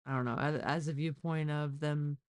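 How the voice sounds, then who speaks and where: A woman with a low voice hosts a casual live stream. She talks informally and gives her opinions freely, and the sound is close and dry. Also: fairly even intonation, normal speed, no echo. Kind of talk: casual chat, true crime commentary.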